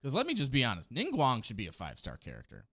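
Expressive male streamer reacting casually. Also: mid-range voice, fast, gravelly, no echo, studio quality. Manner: enthusiastic, slangy. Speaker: streamer reacting to game trailers. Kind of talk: casual live reaction commentary. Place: small home streaming room. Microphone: close desk microphone. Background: faint game trailer music.

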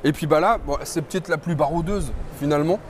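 A man's voice: fairly high pitch, fast talker, slight background noise. A man in his forties chatting eagerly as a co-host while touring a large exhibition hall, explaining details as he goes. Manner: enthusiastic, explains features. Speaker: show co-host. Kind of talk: casual chat touring a trade show.

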